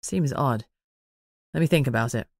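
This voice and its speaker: A woman voicing a calm, teasing man in an audiobook, measured and matter-of-fact. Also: a bass voice, vocal fry, no room sound, studio quality, voice pushed down, exaggerated voice. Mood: measured, thoughtful. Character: calm teasing man, werewolf packmate and investigator.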